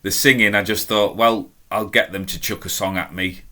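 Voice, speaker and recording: Deep, forceful man telling stories. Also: steady tone, normal speed. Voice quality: deep, strong voice. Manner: chatty, self-deprecating, emphatic. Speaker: storyteller. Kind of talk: casual anecdotal storytelling.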